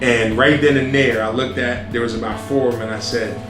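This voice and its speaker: Loud, earnest middle-aged man, roomy sound. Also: mid-range voice, steady tone, brisk. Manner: loud, earnest, restarts with many ums. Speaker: high school principal, interview guest. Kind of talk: casual interview answers. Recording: roomy sound with music behind.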